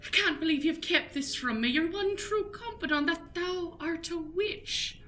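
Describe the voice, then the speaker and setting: A woman's voice: extremely high pitch, unhurried, sharp tone, faint music behind, full character voice. A woman playing an eager young intern, a witch's indignant confidant, in an improvised comic radio play.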